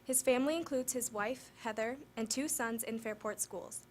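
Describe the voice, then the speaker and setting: A woman's voice: a little high, normal speed, slight background noise. A woman reading a candidate introduction aloud.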